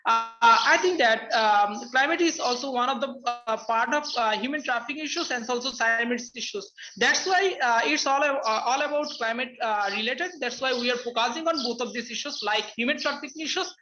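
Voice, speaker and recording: A woman's voice: fairly high pitch, brisk, hardly any pauses, dull audio, noisy background. A young woman from Bangladesh, a Bengali speaker with a Bangladeshi accent, giving hesitant webinar remarks full of fillers and restarts over a video call. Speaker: webinar panelist. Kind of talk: halting spoken remarks in a webinar.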